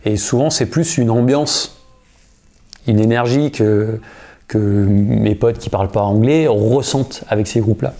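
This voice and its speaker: A man in his thirties, a guitarist and singer, telling a story in a casual interview. He uses a lot of slang and often starts over or pauses with fillers. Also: lowish voice. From United States. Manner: relaxed, slangy, many fillers and restarts.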